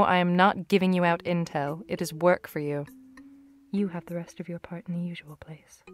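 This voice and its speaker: A controlled female gang boss around forty acted by a woman, pitched a little low. She gives orders with shrewd, knowing composure in a character demo, music behind her. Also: natural voice. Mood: cool, businesslike.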